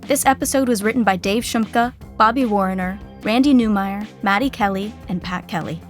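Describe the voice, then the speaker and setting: A woman's voice: mid-range voice, a little fast. Woman narrator over faint music. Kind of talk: scripted sitcom narration.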